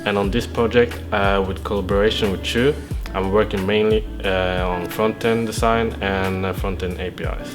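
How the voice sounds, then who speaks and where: A man in his twenties introducing himself softly, with hesitant fillers. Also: fairly low pitch, fairly even intonation, normal speed, music in the background. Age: 20s. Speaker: front-end student.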